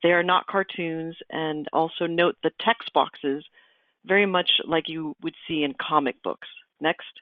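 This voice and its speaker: A woman's voice: sits low, fairly even intonation, measured pace. A woman from Argentina, with Spanish as her first language, presents a webinar in an explanatory way. Her voice comes through thin, phone-like audio. The sound is dry, with no room echo. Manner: explanatory, calls "next" for slides.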